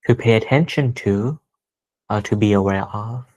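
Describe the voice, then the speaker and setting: A man leading a guided meditation as a teacher, speaking gently and deliberately with pauses between short phrases. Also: fairly low pitch.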